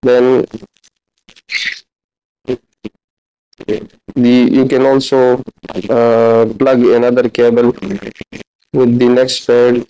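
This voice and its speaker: A man in his thirties giving a halting, instructional practical demonstration as a physiotherapy teacher. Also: mid-range voice, completely flat delivery, mellow, clean tone. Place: large indoor classroom clinic room. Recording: dull, lo-fi camera audio.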